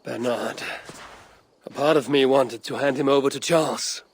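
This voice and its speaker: A man's voice: mid-range voice, steady tone, moderate pace, a little hiss or hum, close to an everyday voice. Man playing a stern, blunt Viking warrior. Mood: guarded. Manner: blunt, restrained. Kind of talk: dramatic video game voice acting.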